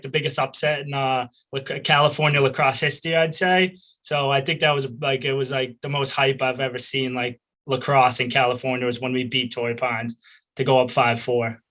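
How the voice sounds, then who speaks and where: A young man with a Boston accent, casual and laid-back, rambling through his answers with lots of filler. His voice is bright and carries a forceful edge. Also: on the high side. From Boston area. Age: young adult. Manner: laid-back, rambling, with many likes and laughs. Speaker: college lacrosse player, guest.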